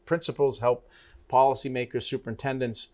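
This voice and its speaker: A middle-aged man hosting a TV talk interview, speaking in a halting way with many restarts and fillers. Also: mid-range voice, fairly even intonation, darkish tone. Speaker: TV talk show host. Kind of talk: casual interview conversation.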